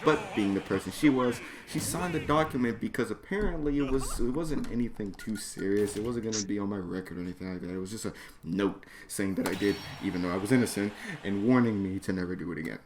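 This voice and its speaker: A man's voice: on the low side, fast talker, a crowd in the background. A man reading his own comedic story aloud in a slangy, profane, exasperated way. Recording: noisy background, mouse or mic clicks.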